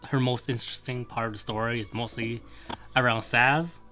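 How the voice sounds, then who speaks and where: A man's voice: medium pitch, steady tone, normal speed, pushed and bright, faint music behind. A young man co-hosting a gaming podcast, giving blunt, short opinions in a casual chat. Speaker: podcast co-host.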